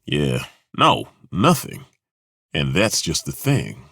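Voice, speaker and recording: A man's voice: a bass voice, wildly swooping pitch, slow pace, gravelly, fairly bright tone, slightly rough, no echo, exaggerated voice. An actor playing a weary middle-aged male mercenary and former arena fighter, talking in a dry, sardonic, laid-back way. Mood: reflective. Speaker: companion character. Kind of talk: video game voice lines. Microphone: close studio mic.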